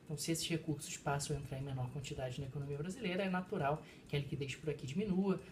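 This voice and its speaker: A man's voice: on the high side, very fast, darkish tone, slight background noise. A man, a professor co-hosting a casual chat, who explains economics in a lecturing way. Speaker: co-host, professor.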